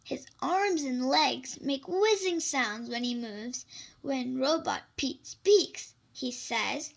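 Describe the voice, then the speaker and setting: Slow, high-pitched, animated storybook reader. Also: gravelly. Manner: animated, simple exclamations and asides to young listeners. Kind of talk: expressive read-aloud for young children.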